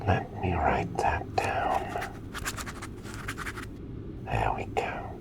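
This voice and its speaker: A man playing a gentle, courteous plague doctor in a whispered ASMR roleplay, breathy and soothing, close to the microphone. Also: lively intonation, exaggerated voice. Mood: soft, attentive. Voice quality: breathy whisper with low gravelly creak. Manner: soothing, unhurried, quaintly polite. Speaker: ASMR performer.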